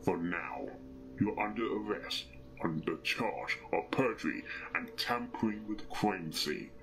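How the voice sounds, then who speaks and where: A man voicing a stern male pony detective who speaks with deliberate, accusatory authority in a fan fiction reading. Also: on the low side, a bit bright, a little hiss or hum, rough recording. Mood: stern, commanding.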